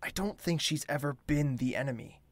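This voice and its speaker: A man's voice: fairly high pitch, a little fast, no room sound, natural voice. A man playing an earnest, proud young royal in a fantasy audio drama, speaking formally. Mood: uncertain, lovestruck. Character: lovestruck young male royal, formal, proud, easily wounded.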